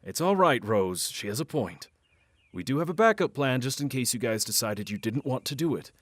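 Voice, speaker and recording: A man acting in a fantasy audio drama as an earnest middle-aged father and guardian, explaining things in a measured way with hesitant pauses. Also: mid-range voice, lively intonation, a bit bright, no room sound. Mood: solemn.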